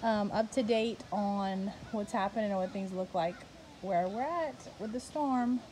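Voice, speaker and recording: A woman's voice: medium pitch, measured pace, dark tone. A woman, chatting casually to a phone camera as she films around her rural homestead. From Louisiana. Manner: chatty, easygoing, talks to her kids and pets. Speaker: homesteader vlogger. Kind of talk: casual vlog narration. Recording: noisy outdoor phone audio.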